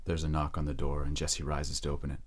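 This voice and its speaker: Very deep-voiced man narrating drama. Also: monotone, quick pace. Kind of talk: scripted audio drama narration.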